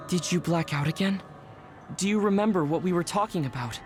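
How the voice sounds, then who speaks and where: A man voicing a calm, serious youthful soldier in a video game, articulate and matter-of-fact. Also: sits high, brisk, background noise, lightly acted. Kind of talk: video game cutscene acting. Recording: background music under the voice.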